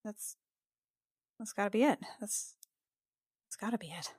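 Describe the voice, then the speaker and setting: A woman improvising casually as a tabletop game player, halting and often repeating her words. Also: medium pitch, darkish tone, slightly rough, no room sound, studio quality.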